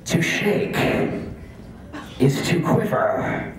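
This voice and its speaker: A man playing a booming male movie trailer announcer, delivering lines slowly and portentously on a comedy club stage. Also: distant mic. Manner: slow, dramatic, portentous delivery. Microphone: handheld mic. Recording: echoey club with laughing audience.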